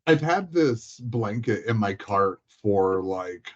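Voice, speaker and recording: A man's voice: lowish voice, lively intonation, takes it slowly, dull audio. A man chatting casually as a guest, in an informal, loose conversational way. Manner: informal, frequent filler 'like', giggly. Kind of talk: casual chat about tabletop gaming.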